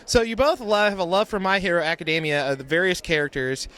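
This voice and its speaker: A cheerful male interviewer at a convention with a notably high voice. He talks fast and moves briskly from one question to the next. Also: a bit bright. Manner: quick and cheerful, says "alrighty" between questions. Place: at a fan convention.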